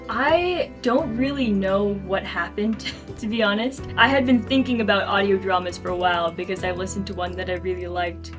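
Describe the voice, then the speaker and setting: Female interviewee, an author. Conversational, reflective, earnest storytelling, with background music under the voice. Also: mid-range voice.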